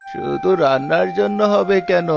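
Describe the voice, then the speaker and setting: A frail, elderly cartoon beggar performed by a man drags out his words very slowly. The voice is creaky and pleading, yet shrewd. Music sits behind a muffled recording. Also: high voice. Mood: persuading. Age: older. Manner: slow, pleading, drawn-out speech. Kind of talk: Bengali cartoon voice acting.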